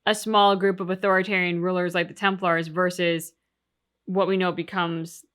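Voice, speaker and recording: A woman's voice: on the low side, flat delivery, moderate pace, fairly bright tone. A woman co-hosting a game reaction show, talking casually and analytically about the story.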